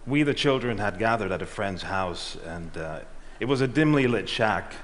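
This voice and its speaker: A middle-aged man giving a prepared talk on stage, telling a measured story and letting his lines trail off. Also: on the low side, lively intonation, fairly bright tone, a little hiss or hum. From Switzerland and Ghana. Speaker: speaker. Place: large auditorium. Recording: reverberant hall.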